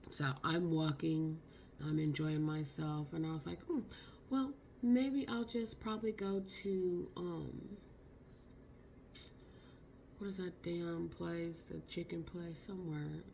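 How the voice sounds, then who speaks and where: Hoarse, gravelly-voiced chatty woman. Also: fairly low pitch, slight background noise. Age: 50s. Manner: chatty, expressive, slangy. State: hoarse from a cold. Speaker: vlogger. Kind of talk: casual storytelling vlog.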